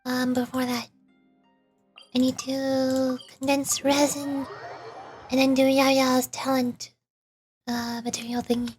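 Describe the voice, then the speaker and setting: Girl streamer with a high voice, chatting to herself while gaming, faint music behind. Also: little pitch movement, slow pace, long pauses, darkish tone. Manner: thinks aloud in short bursts. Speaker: video game streamer. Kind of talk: casual gameplay commentary.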